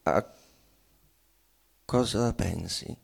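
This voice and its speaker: An actor playing a domineering, tormented bourgeois husband obsessed with death, in an intense theatrical radio drama reading. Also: male voice, deep voice, vocal fry, husky, full character voice. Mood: hushed. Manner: slow, halting, intense delivery.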